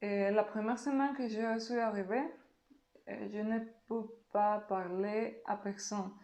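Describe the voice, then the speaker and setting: A woman from Mexico, a native Spanish speaker with a Mexican Spanish accent, answering interview questions slowly and carefully. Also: medium pitch, darkish tone, echoey room. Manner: slow, careful speech with pauses.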